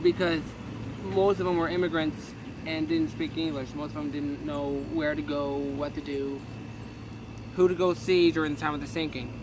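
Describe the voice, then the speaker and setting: A man's voice: on the high side, little pitch movement, moderate pace, background noise. A man reading a history book aloud, stumbling and correcting himself casually as he goes. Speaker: reader.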